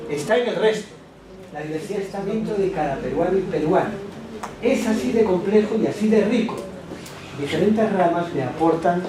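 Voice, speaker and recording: A man lectures to teachers in an animated way, throwing rhetorical questions out to the room. His voice sits high. He is recorded from a distance in a very echoey hall with clear noise behind him. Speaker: education speaker at a teachers' workshop. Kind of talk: lecture presentation.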